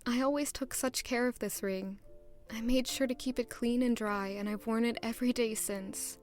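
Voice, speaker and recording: An actress playing a gentle, sentimental young lady in an audio drama, polite and soft-spoken, narrating in first person. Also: fairly high pitch, steady tone, moderate pace, soft background music, natural voice. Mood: tender, reflective.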